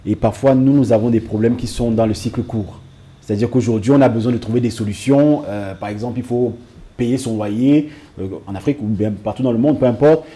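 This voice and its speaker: A man, a guest on a televised panel, speaking in a measured, hesitant way with repeated fillers. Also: mid-range voice, warm tone.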